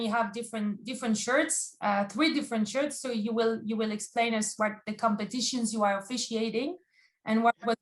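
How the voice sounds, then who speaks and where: A woman's voice: fairly high pitch, fairly bright tone. A woman moderating a webinar over a video call, talking hesitantly with many restarts and frequent light laughs. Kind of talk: hosting a live webinar.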